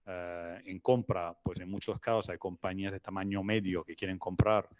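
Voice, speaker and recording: Hombre de voz grave, hablante no nativo. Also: male voice, low-pitched, fairly even intonation, darkish tone, no room sound, dull audio. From Italy. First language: not Spanish. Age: middle-aged. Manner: halting, searching for words with repetitions. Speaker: interview guest, business advisor. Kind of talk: conversational explanation.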